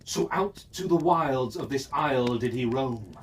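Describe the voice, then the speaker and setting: A storyteller reading a rhyming fairy tale for children in a measured, sing-song cadence. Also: mid-range voice, lively intonation. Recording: distant mic, faint hiss.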